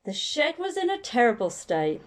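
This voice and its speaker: Female storyteller for young children, slightly high voice, highly varied intonation, deliberate picture-book delivery. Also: big pitch swings.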